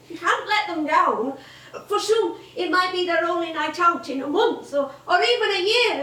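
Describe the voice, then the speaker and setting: Actress as chatty old northern english music hall lady, slow n high, echoey theatre. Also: fairly bright tone, exaggerated voice. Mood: earnest, wistful. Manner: homely, chatty, wistful. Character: old, warm, chuckling music-hall comedienne. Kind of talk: stage monologue and dialogue acting. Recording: distant mic in echoey theatre.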